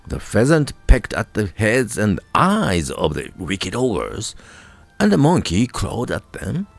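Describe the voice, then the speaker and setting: A male storyteller with a Japanese accent narrates a folk tale over faint music. His delivery is slow and deliberate, with very animated, sweeping intonation that gives dramatic weight to key words. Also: medium pitch, vocal fry, dry recording. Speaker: storyteller, radio voice crew narrator.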